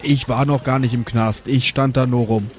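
A man's voice: medium pitch, steady tone, moderate pace, lightly acted. Man playing a cheeky little bee. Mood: cheeky, defensive.

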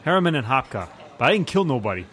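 Guy doing shady wise guy in a radio mystery, talks real fast, slangy and defensive. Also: medium pitch, big pitch swings, lightly acted. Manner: slangy, ungrammatical tough talk. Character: streetwise, uneducated, shady business manager, defensive wise guy. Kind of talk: old-time detective radio acting.

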